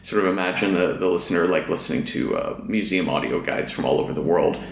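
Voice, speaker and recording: A man's voice: low voice, quick pace, creaky, reverberant. A man being interviewed as a guest, chatting casually and affably as he thinks aloud. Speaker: podcast guest, fiction writer.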